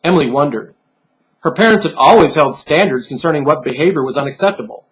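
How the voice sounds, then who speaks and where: Higher-pitched male dramatic narrator. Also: lively intonation, fast, a bit bright, poor audio. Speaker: author reading their novel.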